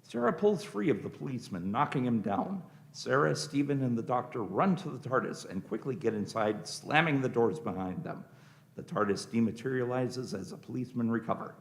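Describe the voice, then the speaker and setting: Animated, gravelly male narrator. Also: mid-range voice, fairly quick. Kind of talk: scripted dramatic narration.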